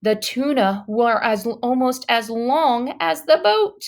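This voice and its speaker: A woman reading a children's story aloud in an expressive, dramatic way, leaning on key words and exclamations. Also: fairly high pitch, slow pace, creaky. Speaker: storybook reader.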